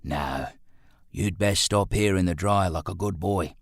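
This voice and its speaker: A man's voice: deep voice, measured pace, no echo, studio quality. A man voicing a polite, hesitant working man who speaks deferentially to his master in an audiobook. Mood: kindly.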